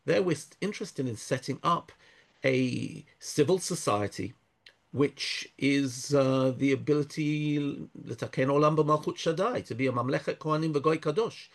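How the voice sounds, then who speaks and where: A man speaking thoughtfully in an interview, pausing and restarting often, weaving in Hebrew verses. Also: on the high side, vocal fry. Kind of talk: conversational interview.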